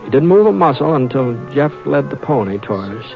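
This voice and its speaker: A calm, steady frontier rancher narrates and talks in a soothing, unhurried drawl. The 1950s radio recording sounds dull and muffled, with faint music underneath. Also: male voice, medium pitch, gravelly. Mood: narrating. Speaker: narrator and lead. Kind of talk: 1950s radio drama acting. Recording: dull old radio broadcast.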